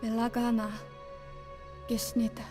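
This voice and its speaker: An actress playing a quiet, restrained female sniper in a dramatic video game cutscene. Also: fairly high pitch, completely flat delivery, measured pace, natural voice. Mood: subdued. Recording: music behind the voice.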